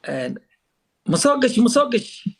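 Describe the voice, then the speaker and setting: A man on a video call, speaking halting, broken English in an eager, flattering way. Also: very high voice, breathy edge. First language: Somali. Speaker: live-stream guest. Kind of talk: casual video-call chat.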